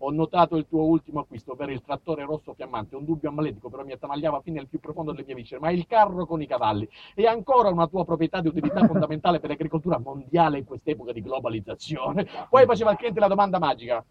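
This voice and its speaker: A man with a southern Italian accent performing a comic monologue, theatrical and mock-pompous, moving between muttered asides and loud outbursts. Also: on the high side, animated, rapid-fire, hardly any pauses, no room sound, dull audio. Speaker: stage monologist. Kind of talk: comic autobiographical storytelling to a live audience.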